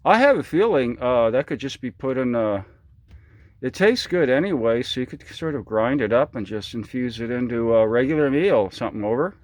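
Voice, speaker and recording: A man's voice: mid-range voice, expressive, normal speed, very noisy. An American man chatting casually as an amateur radio operator, rambling along in a folksy, enthusiastic way. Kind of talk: casual ham radio chat. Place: indoors at a home radio station.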